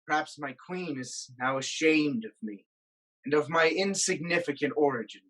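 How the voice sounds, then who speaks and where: A woman playing a proud, stubborn king of ancient Thebes, declaiming deliberately in a theatrical reading. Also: low-pitched, expressive, a bit bright, rough recording. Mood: proud defiance. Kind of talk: theatrical staged reading of Greek tragedy.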